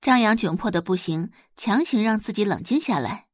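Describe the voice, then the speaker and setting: A woman's voice: mid-range voice, lively intonation, moderate pace, no room sound, clean studio sound. A woman narrating a scripted audiobook story as its storyteller.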